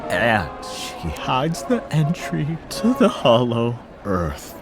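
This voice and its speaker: An actor playing a cheeky, tipsy, carefree brother in a noir video game, with a playful, mocking, theatrical drawl. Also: male voice, medium pitch, wildly swooping pitch, slow, music in the background, exaggerated voice. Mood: mock-serious. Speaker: video game supporting character. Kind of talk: scripted visual novel voice acting.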